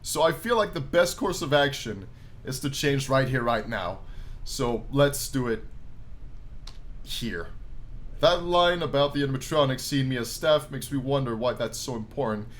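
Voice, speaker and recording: Animated man doing let's play narration. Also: mid-range voice, normal speed. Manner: animated, jokey, casual asides. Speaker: gaming YouTuber reading a visual novel aloud. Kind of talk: let's play commentary mixed with dramatic read-aloud narration.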